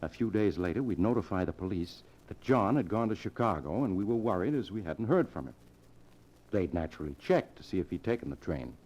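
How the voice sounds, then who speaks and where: A cold, scheming younger man, speaks in a low voice with deliberate, commanding insistence. It is 1940s radio thriller acting, captured on a dull vintage broadcast recording. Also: animated, darkish tone. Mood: coolly scheming. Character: cold scheming younger man plotting murder, persuasive and controlling.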